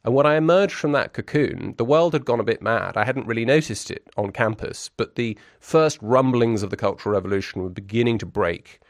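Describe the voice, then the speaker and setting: A man's voice: medium pitch, brisk, hardly any pauses, no room sound, studio quality. A man in his forties who grew up in Brussels, speaking as an interview guest. He talks in a reflective, articulate and wry way, full of restarts and fillers.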